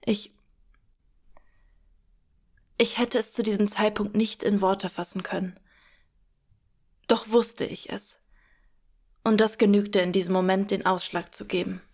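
A woman's voice: mid-range voice, fairly even intonation, a little mellow. An actress playing a hesitant, devout medieval girl, narrating reflectively in a radio play. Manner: deliberate, reflective. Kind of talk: dramatic radio play narration, archaic German.

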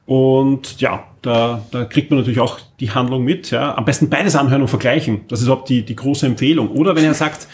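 Austrian man hosting a podcast, mid-pitched. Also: a little fast, few pauses. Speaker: podcast host. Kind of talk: casual discussion.

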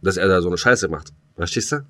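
Deep voiced german guy debating on a podcast, stammers a lot, dry close sound. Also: expressive, fairly bright tone, dry recording. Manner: halting, stammers and repeats words while arguing. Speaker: podcast co-host. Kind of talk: casual, unscripted discussion.

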